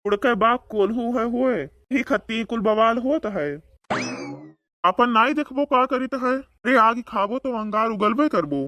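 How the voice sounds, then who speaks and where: A man's voice: very high voice, measured pace, sharp tone, no echo, heavily acted. A man voicing a boastful, bickering village man in a comedy cartoon, speaking with an Awadhi accent and taunting banter. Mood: mocking. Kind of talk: comedic cartoon dialogue in rural dialect.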